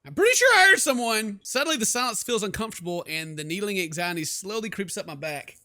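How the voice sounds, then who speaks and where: A man's voice: sits high, animated, quick pace, bright, forceful tone. A man hosting a horror game playthrough, reading text aloud and reacting with theatrical, sarcastic, exclamatory commentary. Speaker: gaming video host.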